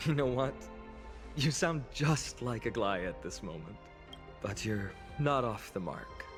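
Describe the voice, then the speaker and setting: A man's voice: mid-range voice, animated, unhurried, lightly acted. A man playing a nonchalant young hero in a video game, casual and wry with an earnest side. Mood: sincere, subdued. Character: nonchalant young male hero, teasing yet sincere. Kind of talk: dramatic video game voice acting. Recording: game dialogue over loud music.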